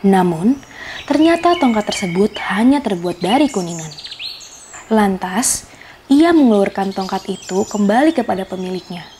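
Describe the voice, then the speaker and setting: A woman's voice: mid-range voice, expressive, normal speed, darkish tone, slight background noise. A woman telling a scripted children's story, expressively addressing her young listeners. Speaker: storyteller, host of a children's folktale show. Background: faint music.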